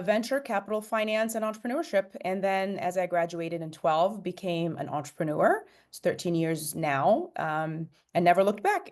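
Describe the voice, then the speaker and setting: A woman's voice: fairly low pitch, darkish tone. A woman in her late forties, born in Egypt, talking about her career as a startup chief executive in a podcast interview, fluent but with frequent fillers and restarts. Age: late 40s. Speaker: startup CEO guest.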